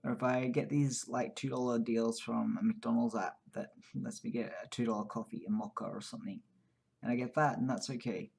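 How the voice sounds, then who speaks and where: A man's voice: mid-range voice, gravelly, a little mellow, breathy edge. A man in his twenties vlogging in a casual, rambling and candid way, often laughing at himself. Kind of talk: casual personal chat to camera. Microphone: new microphone, quiet level.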